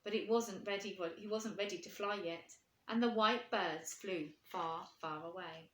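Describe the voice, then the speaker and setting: A woman reading a storybook to children, expressive and putting questions to her young listeners. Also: medium pitch, measured pace. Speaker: primary school teacher reading aloud. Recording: echoey room.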